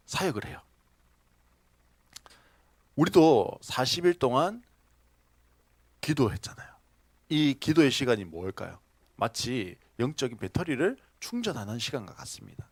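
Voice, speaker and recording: Lively-voiced man preaching a sermon. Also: medium pitch, measured pace, pauses often, no room sound. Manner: storytelling with questions to the congregation, laughing asides. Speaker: pastor. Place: church sanctuary.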